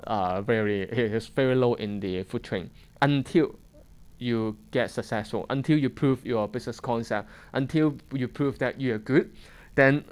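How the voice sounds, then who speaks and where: A man with a Hong Kong accent lectures a room of students in fairly smooth, resonant tones. His English is plain and conversational, broken by restarts and short chuckles as he works through his points. He sounds like someone in his thirties speaking off the cuff. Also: mid-range voice. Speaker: fund manager giving a seminar talk.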